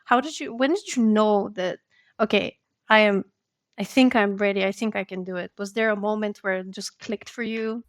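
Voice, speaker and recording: A woman's voice: medium pitch, moderate pace, no echo, clean studio sound. A woman hosting a podcast, interviewing in a conversational, informal and friendly way. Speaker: podcast host.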